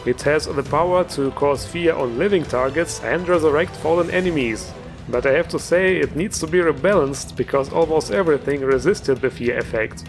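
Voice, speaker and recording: A man hosting a video game mod show, giving informal, enthusiastic commentary with a joking streak. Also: fairly high pitch. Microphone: voice-over recorded over gameplay music.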